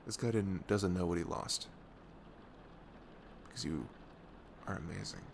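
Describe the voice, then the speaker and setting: A young man playing a caring, reassuring best friend in an intimate ASMR roleplay, soft-spoken and earnest, with audible noise in the recording. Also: low voice, measured pace. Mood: encouraging. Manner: soft-spoken, often breathy, hesitant, earnest. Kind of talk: ASMR roleplay acting, intimate casual talk.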